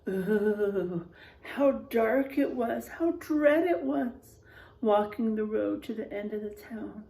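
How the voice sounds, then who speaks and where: A woman teacher reading a picture book aloud to her first-grade class, gently asking them questions along the way. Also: mid-range voice, slow pace, creaky, poor audio. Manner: animated, gentle, asks the children questions.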